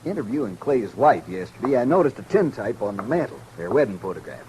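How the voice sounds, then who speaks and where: Gleeful frontier printer: folksy American Western accent, very animated pitch, boastful, faintly noisy old radio recording. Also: male voice, a little fast. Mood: eager, proud. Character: gleeful, self-satisfied small-town frontier newspaper printer. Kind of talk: 1950s radio western acting.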